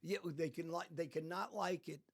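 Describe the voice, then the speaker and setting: American guy, gravelly, kinda high voice, emphatic storytelling, restarts a lot. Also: normal speed. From United States. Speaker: podcast guest. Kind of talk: casual conversation.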